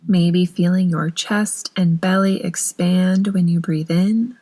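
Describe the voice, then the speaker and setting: A woman narrating a guided meditation in a soothing, unhurried manner with long pauses between phrases. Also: on the low side, fairly even intonation, warm tone, husky.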